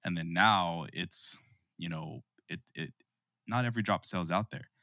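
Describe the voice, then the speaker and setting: A man's voice: low voice, a little slow, bright, forceful tone, no echo, studio quality. A man hosting a podcast interview in a casual, conversational style. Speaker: podcast host.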